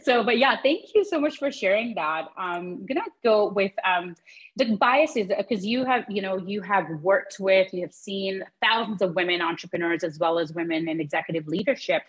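Husky-voiced woman hosting a video call. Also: medium pitch. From Pakistan. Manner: warm, conversational, many fillers. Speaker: host. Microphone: webcam on a video call.

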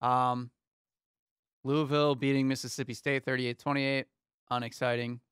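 A young man co-hosts a sports show from a small foam-paneled studio, talking into a close studio mic. His voice is smooth and resonant, and the sound is dry. He moves through scores in a steady, easygoing rundown. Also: medium pitch, normal speed, no echo. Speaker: sports talk show co-host. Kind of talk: casual sports chat. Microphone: studio mic on arm, headphones.

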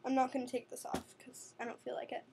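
A woman's voice: sits high, completely flat delivery, fast, creaky, mellow, slight background noise. A teenage girl from New chatting rambly about her skin products in her bedroom, recorded on a webcam. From New York City. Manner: rambling, chatty, with many fillers and self-corrections. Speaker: beauty vlogger. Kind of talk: casual product-review vlog. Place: bedroom at night, indoors. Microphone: webcam at desk.

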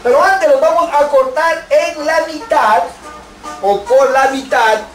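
A man's voice: very high voice, takes it slowly. A man in his thirties hosting a homemade cooking show in a small kitchen, with showman patter and switching between two languages. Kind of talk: bilingual cooking vlog. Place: small home kitchen, indoors. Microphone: camera mic, several feet away. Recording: echoey kitchen with faint music.

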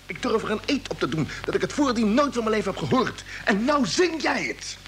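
An actor playing an earnest, troubled space commander, pressing urgently and emphatically, in old Dutch radio drama style. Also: male voice, high voice, sing-song, fairly quick, a bit bright, soft background music. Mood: insistent. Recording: noisy vintage radio play recording.

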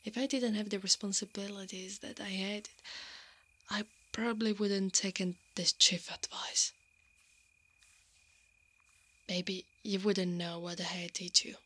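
A woman playing a strong, teasing, protective female knight in an ASMR roleplay, speaking softly and often dropping into a whisper. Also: mid-range voice, little pitch movement, moderate pace, breathy edge. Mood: tender, confessing.

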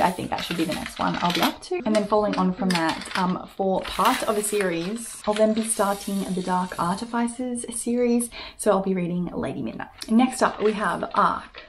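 A young woman chatting to camera about her reading plans at home, upbeat with quick asides. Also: mid-range voice, slight background noise, rough recording. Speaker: book vlogger. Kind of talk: casual chat to camera. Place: home room with bookshelves. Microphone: camera on desk at arm's length.

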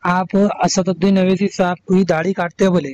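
Male caller, Hyderabadi accent, high voice, insistent and repetitive in argument, muffled lo-fi call audio. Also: level tone, normal speed, darkish tone, no room sound. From Hyderabad, India. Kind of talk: heated phone argument. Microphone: phone call. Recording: dull lo-fi recorded phone call.

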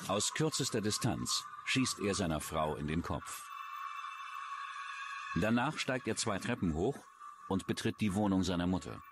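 A man's voice: sits low, lively intonation, a little slow, background noise. A man reading scripted true-crime documentary narration as the narrator. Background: music.

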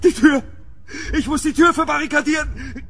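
A man's voice: very high-pitched, normal speed, fairly bright tone, slight background noise. An actor playing a panicked, desperate English nobleman in a horror radio drama, with urgent, stammering repetitions.

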